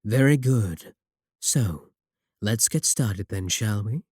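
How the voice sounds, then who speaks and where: Man playing a slow, deep, velvety-dark manipulative warlock. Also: breathy edge, no room sound, studio quality, heavily acted. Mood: smooth, persuasive. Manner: unhurried, polite, coaxing, flattering. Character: smooth, courteous, manipulative male warlock shopkeeper, flattering and flirtatious. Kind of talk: second-person roleplay audio acting.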